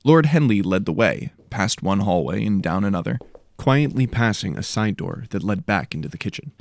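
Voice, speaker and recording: A man's voice: on the low side, animated, normal speed, gravelly. A man reading scripted fantasy audiobook narration as a storyteller.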